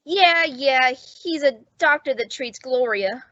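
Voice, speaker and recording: A woman's voice: sits high, animated, slow, a bit bright, no echo, heavily acted. A woman playing an eager, awkward, smitten young bellboy with a flustered, hesitant manner in old-time radio comedy style. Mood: eager, confiding. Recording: dull lo-fi close dry recording.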